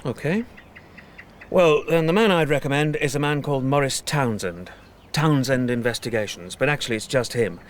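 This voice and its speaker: An actor playing a chatty British solicitor with a dry, jokey, anecdotal manner in a radio drama. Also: male voice, medium pitch, normal speed, slight background noise, close to an everyday voice. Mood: helpful, casual. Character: chatty, wry male solicitor, gardening friend. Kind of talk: naturalistic radio drama dialogue.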